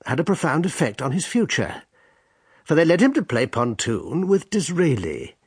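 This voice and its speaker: Guy narrating intro to a radio play, formal and dry, husky voice, very expressive, close dry sound. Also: medium pitch, no echo. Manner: measured, formal, drily ironic.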